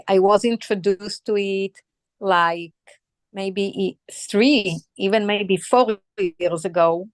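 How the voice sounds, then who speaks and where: Earnest Israeli-accented woman, slow. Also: medium pitch, clear, resonant tone, no echo. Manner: earnest, searching, halting. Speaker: call participant. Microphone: video call.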